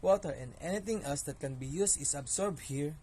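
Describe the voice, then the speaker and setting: A man acts out an earnest digestive system pleading its case in amateur reader's theater. The voice sits a little high and explains things formally, as scripted testimony, over faint noise. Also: brisk, mellow, natural voice. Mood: explaining. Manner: explanatory, formal courtroom address.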